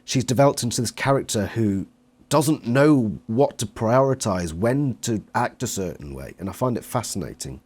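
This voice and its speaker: A man's voice: medium pitch, lively intonation, normal speed, darkish tone, breathy edge. A man talking casually as an interviewer and reviewer in a fan discussion.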